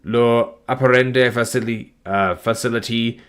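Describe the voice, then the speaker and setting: A tired man, reading long file listings aloud on a live stream in a flat, plodding way, his voice starting to give out. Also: medium pitch, a little slow, smooth. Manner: flat, plodding reading of numbers with weary asides. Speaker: document narrator.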